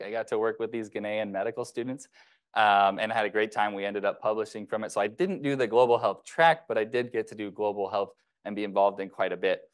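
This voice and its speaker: A man in his thirties, a dermatology resident, giving a relaxed keynote to medical students with many ums and restarts. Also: lowish voice. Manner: casual, many fillers and restarts. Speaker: keynote speaker. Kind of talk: conversational keynote speech. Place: ceremony hall, speaking to an audience.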